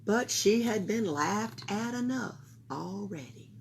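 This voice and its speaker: A woman reading a children's picture book aloud for a library, slow and expressive. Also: medium pitch, slight background noise. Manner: slow, expressive, breathy storytelling. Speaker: library storyteller.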